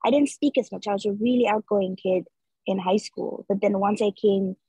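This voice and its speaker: Guest: young Nepal-born woman, dark husky tone, earnest and chatty on a dull video call. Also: medium pitch, fairly even intonation, normal speed, no echo. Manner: earnest, many filler likes. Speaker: interview guest, street artist. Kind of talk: casual reflective conversation. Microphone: video call laptop mic.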